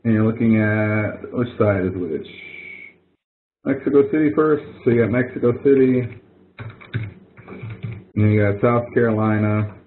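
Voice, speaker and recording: A man with a fairly low voice walks students through their coursework in a relaxed, explanatory way, thinking aloud as he goes. The sound is narrow and phone-like, as if it came through a web call. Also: unhurried, pauses often. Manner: casual, explanatory, thinks aloud while demonstrating. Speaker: instructor. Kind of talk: webinar walkthrough. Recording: phone-like narrow audio from a webinar.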